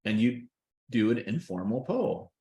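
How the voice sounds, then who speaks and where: Folksy coach, emphatic, in a roomy space. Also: male voice, medium pitch, sing-song, a little slow, mellow. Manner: emphatic, folksy, repeats "does that make sense". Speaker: coach hosting a group call. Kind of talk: casual coaching talk.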